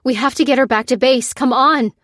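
A woman voicing a bold woman in an audiobook, giving orders with urgent, forceful defiance. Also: on the high side, measured pace, no room sound, clean studio sound. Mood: urgent, commanding.

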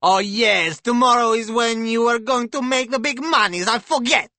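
A man's voice: extremely high pitch, normal speed, bright, forceful tone, no room sound, pitched above the performer's own voice, full character voice. A man voicing a fierce, scornful woman with a heavy foreign accent who crows and mocks. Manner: crowing, mocking, scornful.

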